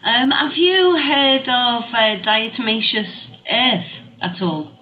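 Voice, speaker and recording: A woman's voice: on the high side, takes it slowly. Chatty Scouse woman hosting a talk show. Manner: chatty, laughs often, many fillers. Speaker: radio show host. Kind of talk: casual internet radio chat. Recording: muffled online radio audio with faint hiss.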